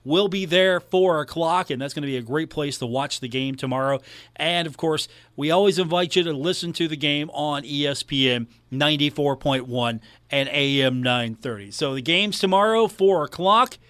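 A man's voice: on the high side, moderate pace, a bit bright, no room sound. An American man talking as a sports radio host, delivering commentary and announcements into a close studio microphone. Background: music.

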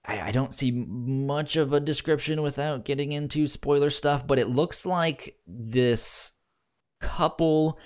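A man co-hosting a podcast in casual chat, halting and often repeating the starts of his words. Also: a little high. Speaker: podcast co-host.

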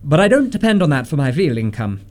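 A man playing an earnest, polite Victorian gentleman in his late twenties in a radio comedy, formal and a little flustered. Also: fairly high pitch, sing-song, fast talker. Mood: polite, nervous. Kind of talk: stage-comedy radio acting.

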